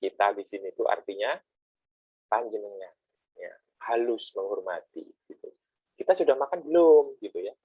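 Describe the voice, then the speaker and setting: A middle-aged male lecturer with a lowish, slightly husky voice, recorded close and dry with almost no room sound. He explains in a chatty, conversational way, full of rhetorical asides and everyday comparisons. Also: moderate pace, pauses often, mellow, no room sound, rough recording. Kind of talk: classroom lecture.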